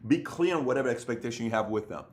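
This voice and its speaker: A man's voice: medium pitch, lively intonation, rapid-fire. A man in his thirties giving an emphatic, repetitive motivational business talk to camera, seated in a room with bookshelves. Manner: emphatic, repetitive, acts out other people's voices. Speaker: business coach.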